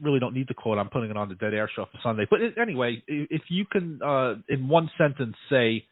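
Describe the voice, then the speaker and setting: A man's voice: mid-range voice, lively intonation, quick pace, no echo. A man hosting a radio show, asking questions in a chatty way with lots of fillers. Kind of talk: casual interview. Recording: tinny dry phone line.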